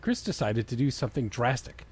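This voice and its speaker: A man's voice: mid-range voice, very animated, brisk, darkish tone, breathy edge. A man doing a dramatic comedic reading of fan fiction, breaking into exasperated, mocking asides. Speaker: co-host of a comedy reading show.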